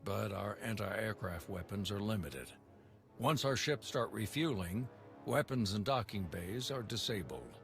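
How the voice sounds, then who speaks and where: A man's voice: lowish voice, expressive, measured pace, gravelly, a bit bright, heavily acted. A man voicing a dutiful, rousing military leader in a video game. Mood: businesslike. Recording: background music.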